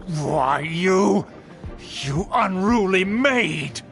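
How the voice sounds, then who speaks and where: Haughty, high-pitched older noble uncle. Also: male voice, animated, drawn-out words, vocal fry, over music, exaggerated voice. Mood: outraged. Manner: pompous, theatrical, formal speech. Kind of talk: video game voice acting.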